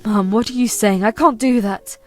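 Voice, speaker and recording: A woman voicing a patient grown son who answers his mother in a measured, firm way. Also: medium pitch, no echo, faint music behind, natural voice.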